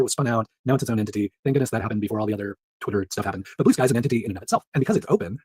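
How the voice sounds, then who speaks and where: Fast-talking stuffed-up man with a nasal voice. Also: medium pitch, breathy edge, dry recording. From Pacific Northwest. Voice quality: nasal, monotone. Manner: upbeat, chatty, laughs between items. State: stuffed up, rough voice from allergies. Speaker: startup news host. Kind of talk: solo news recap.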